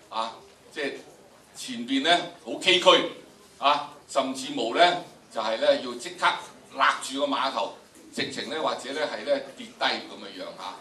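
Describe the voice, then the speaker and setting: An older man with a Hong Kong Cantonese accent lectures to students in an emphatic, theatrical way. His voice is bright and forceful. It comes from some distance in an echoey lecture room, with some noise. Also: medium pitch. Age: older, about 70s. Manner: theatrical, emphatic, repeats phrases for effect. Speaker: drama teacher, lecturer. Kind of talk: animated classroom lecture to students. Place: large indoor lecture room with audience.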